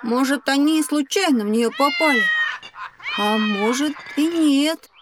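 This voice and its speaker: A woman's voice: sits high, measured pace, distant mic, heavy background noise. A woman playing a reckless, carefree schoolboy in an educational children's audio drama, breezy, boastful and dismissive.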